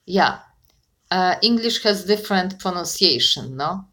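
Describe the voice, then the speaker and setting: Slow, encouraging woman. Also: fairly low pitch, lively intonation. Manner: slow, encouraging, asks questions and corrects gently. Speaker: conversation partner. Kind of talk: casual conversation practice.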